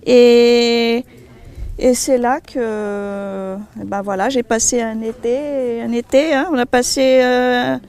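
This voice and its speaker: A woman's voice: fairly high pitch, steady tone, takes it slowly, darkish tone, smooth. A woman from Madagascar speaks informally in a heartfelt testimonial, repeating words for emphasis. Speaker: charity association member.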